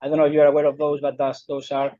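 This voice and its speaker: A middle-aged man from southern Spain speaks English with a Spanish accent, giving a conference talk. His voice sits fairly high, and he hesitates often with fillers and restarts. The sound is dry and a little dull. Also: monotone, fast, dark tone, no room sound. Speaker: invited speaker. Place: lecture room.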